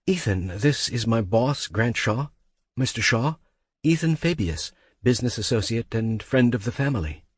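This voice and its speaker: A man's voice: mid-range voice, lively intonation, moderate pace, slightly rough, dry recording, studio quality, pitched above the performer's own voice. A man voicing a nervous, apologetic young woman intern. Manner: apologetic, pleading.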